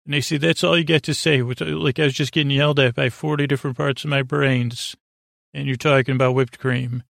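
A man hosting a bedtime podcast in a creaky, soothing voice, meandering and hesitant, with goofy deadpan digressions. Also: mid-range voice, dry recording, studio quality. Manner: soothing, drawn-out delivery. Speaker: podcast host. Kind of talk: rambling bedtime monologue.

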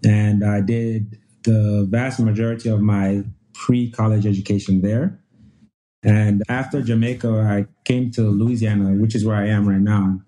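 Low, mellow-voiced man from Nigeria. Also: flat delivery, unhurried. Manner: talks with frequent restarts and repeated phrases. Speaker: guest pulmonologist. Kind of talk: relaxed conversational storytelling.

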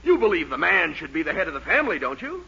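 An actor playing a pushy, fast-talking newspaper reporter with a brash, declaiming manner in 1940s radio comedy. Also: male voice, a little high, sing-song, gravelly, bright, forceful tone, breathy edge, exaggerated voice. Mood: brash, triumphant. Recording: muffled, noisy 1940s radio recording.

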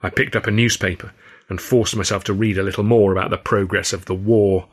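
A man's voice: lowish voice, fairly even intonation, fairly quick. A man narrating his own first-person novel as an audiobook.

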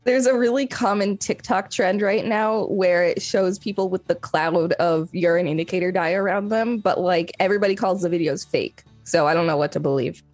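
A woman's voice: lowish voice, normal speed, hardly any pauses, a little mellow, dry recording, soft background music. A woman co-hosting a podcast, moving between casual chat and reading an article aloud. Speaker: podcast co-host.

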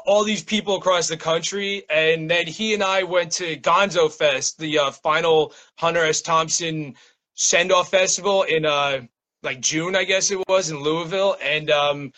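Male, higher-pitched and bright, casual interview guest with a loose, meandering delivery. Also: steady tone, measured pace. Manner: rambling, self-interrupting, frequent "like". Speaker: interview guest, poet and small-press publisher.

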